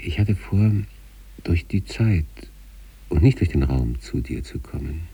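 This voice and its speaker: A man acting an obsessive sanatorium patient in a literary radio monologue, reading out letters to his wife with measured, introspective intensity and a husky, gravelly voice. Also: animated, recorded from a distance, exaggerated voice, rough recording. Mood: absorbed, determined. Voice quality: husky, gravelly, dark low voice.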